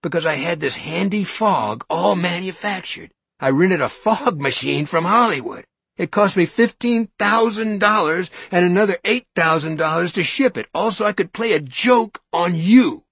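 A man reading as a determined, forceful man pleading and threatening. The voice is husky with a gravelly edge, close and dry. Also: on the high side, big pitch swings, a little slow, dry recording, exaggerated voice. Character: determined, forceful grown man confronting a coward.

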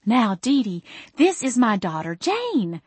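A woman's voice: fairly high pitch, big pitch swings, slow, darkish tone, slightly rough, no echo, pitched above the performer's own voice, exaggerated voice. A woman voicing an overbearing middle-aged mother who coos and gushes over her daughter in a storybook reading. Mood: gushing. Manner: cooing, effusive, meddling.

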